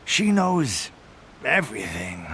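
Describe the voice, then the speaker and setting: A man's voice: mid-range voice, big pitch swings, slow pace, a bit bright, a little hiss or hum. A man voicing a sleepy, easygoing loner soldier in a video game, languid and sighing. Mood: drowsy, content. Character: drowsy, easygoing loner soldier enjoying peace. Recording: game music behind voice.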